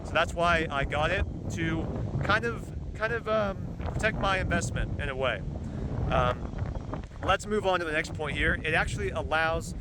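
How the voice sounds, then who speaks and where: Casual vlogger, male, somewhat high-pitched, loose and filler-heavy, recorded outdoors with heavy wind rumble on the mic. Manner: rambling, halting with frequent ums and uhs. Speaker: car parts reviewer. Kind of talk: casual product review vlog. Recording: loud wind noise on the mic. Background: gusting wind.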